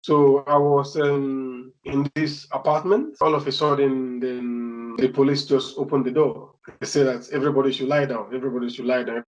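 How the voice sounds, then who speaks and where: A man's voice: medium pitch, steady tone, moderate pace, few pauses, darkish tone, faint music behind. Nigerian man recounting, lo-fi sound. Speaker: filmmaker interviewee. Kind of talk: personal account in interview.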